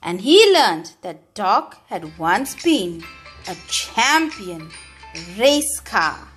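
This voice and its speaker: A woman reading a children's storybook aloud, with expressive, deliberate and emphatic phrasing. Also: mid-range voice, faint music behind. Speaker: storybook reader.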